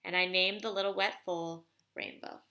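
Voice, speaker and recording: A woman voicing a patient, wise old grandfather in a storybook, measured and reassuring. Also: fairly low pitch, steady tone, very noisy, close to an everyday voice. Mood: reminiscing. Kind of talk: storybook read aloud.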